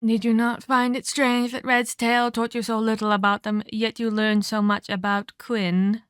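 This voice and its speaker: A woman's voice: on the high side, little pitch movement, normal speed, clean tone, dry recording, clean studio sound, close to an everyday voice. A woman playing a sadistic, scheming, centuries-old female vampire in an ASMR roleplay, haughty and taunting, with formal, scolding phrasing. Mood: scolding, amused.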